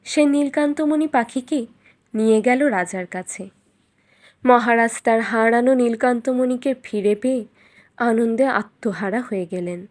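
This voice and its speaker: A woman storyteller reading a scripted fairytale in an expressive, dramatic way. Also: a little high.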